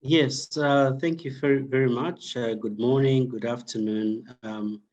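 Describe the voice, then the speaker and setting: A man from Zambia, a doctoral candidate, speaking as a panel guest on a video call in a halting way. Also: medium pitch, steady tone, darkish tone, muffled recording. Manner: halting, frequent "uh" and "um".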